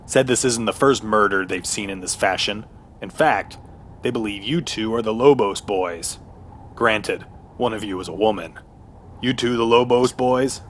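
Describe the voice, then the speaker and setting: A man's voice: fairly low pitch, moderate pace, close to an everyday voice. A man playing a menacing, hungry werewolf gang boss and elder brother in a radio horror western, ominous and domineering. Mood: sly menace. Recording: noticeable background noise.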